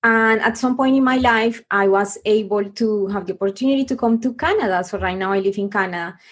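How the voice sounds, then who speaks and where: A middle-aged woman from Colombia, telling her life story as a podcast guest in an earnest, halting way with many restarts. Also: mid-range voice, breathy edge.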